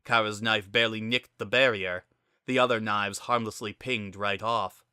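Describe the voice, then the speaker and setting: A man's voice: on the low side, steady tone, normal speed, bright, forceful tone, clear, resonant tone, dry recording, clean studio sound. A man narrating a scripted story in a dramatic reading style.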